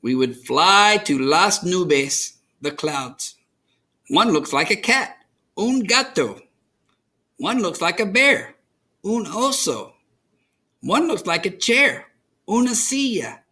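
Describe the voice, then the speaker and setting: A man reading a children's picture book aloud as an expressive storyteller, enunciating for young listeners. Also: high voice, slow, long pauses.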